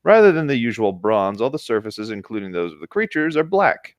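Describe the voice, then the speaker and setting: A man's voice: lowish voice, big pitch swings, fairly quick, no echo. A man reading a story aloud as co-host in a casual, conversational way, with dry asides.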